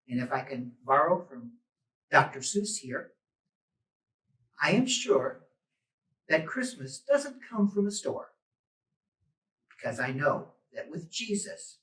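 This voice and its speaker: A man reads a children's story aloud at a very slow pace, with expressive delivery and dramatic pauses. His voice sits at a middle pitch. The room echoes noticeably and he is some way from the microphone. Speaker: storybook reader. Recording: roomy echo, distant microphone.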